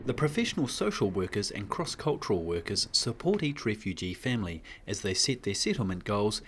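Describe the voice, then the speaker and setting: A man's voice: fairly low pitch, very animated, fairly quick, a bit bright, a little hiss or hum. A man reading scripted documentary narration. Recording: music playing behind the voice. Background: music.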